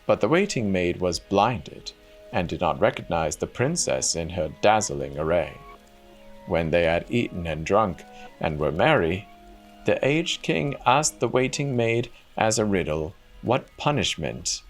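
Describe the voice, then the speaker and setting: A man with a low voice tells a fairy tale at an unhurried, slow pace. He reads it dramatically as a storyteller, with soft music underneath. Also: very animated.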